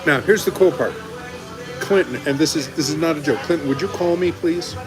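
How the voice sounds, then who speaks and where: A middle-aged man banters casually during a restaurant live stream. His voice runs a bit high for a man, and music plays clearly around him. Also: brisk. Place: restaurant dining room, indoors. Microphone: phone at arm's length. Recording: music playing in the room.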